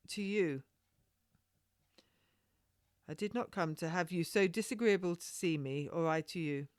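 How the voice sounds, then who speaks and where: A woman's voice: lowish voice, dry recording, clean studio sound. A woman voicing a cocky, evasive male captain from a period storybook, with flowery formal speech.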